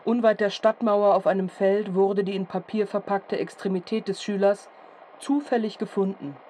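An actress playing a formal radio news announcer in a period drama, reading a bulletin in a measured, factual manner. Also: female voice, medium pitch, steady tone, dark tone, slight background noise, close to an everyday voice. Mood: formal, detached.

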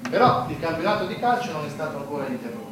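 An actor playing a wry, ironic narrator of an absurd war in a satirical stage play, with declamatory delivery. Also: male voice, on the high side, lively intonation, rapid-fire, over loud music, lightly acted. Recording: echoey room from far off.

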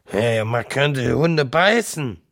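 An actor in a radio play as a drunken, cranky, coarse tenant who rambles and jeers. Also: male voice, mid-range voice, animated, takes it slowly, creaky, a bit bright, exaggerated voice. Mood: sullen, rambling. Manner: blunt, coarse, jeering, swinging between barking and muttering. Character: drunken, cranky, rambling jobless male tenant, newly widowed. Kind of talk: radio drama acting.

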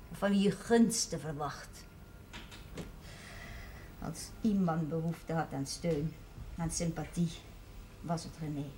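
A woman's voice: lowish voice, a little mellow, natural voice, low-quality recording. An actress playing a bitter, grieving mother who speaks in formal, accusing questions in a television period drama. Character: bitter grieving mother, reproaching a village priest. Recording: faint background hiss, 1970s television soundtrack.

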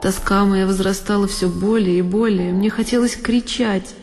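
An actress playing a frightened young girl who recalls a dreadful night in a measured, literary audio drama narration. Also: medium pitch, fairly even intonation, darkish tone, close to an everyday voice. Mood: anguished. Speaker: solo performer of an audio drama. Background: music behind the voice.

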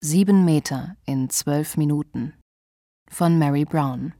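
A woman's voice: low-pitched, slow, mellow, no room sound, studio quality. A woman announcer reading a scripted title announcement.

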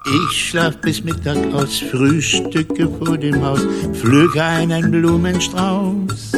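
An actor playing a tired old Santa Claus who dreams of retirement, with a sighing, rhyming manner, in a children's radio play with songs. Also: male voice, a little high, steady tone, slow pace, loud music behind the voice. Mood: dreamy, longing. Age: older.

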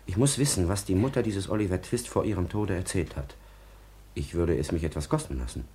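A deep-voiced, secretive gentleman played by an actor from a 1950s radio play. He is guarded and curt, picking his words with hesitation like a man with a scheme. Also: fairly quick, slight background noise, close to an everyday voice. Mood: probing, impatient. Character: secretive, scheming gentleman villain seeking information. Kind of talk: 1950s German radio drama acting.

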